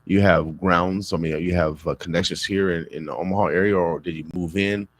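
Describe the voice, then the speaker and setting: A man's voice: low voice, steady tone, brisk, a little hiss or hum. A male radio host asking rambling, easygoing questions in an interview.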